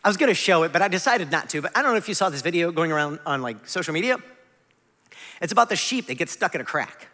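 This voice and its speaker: A man in his forties preaching a conversational sermon, animated and self-deprecating. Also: fairly high pitch, quick pace. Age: 40s. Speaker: pastor. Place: large church hall. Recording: long hall reverb.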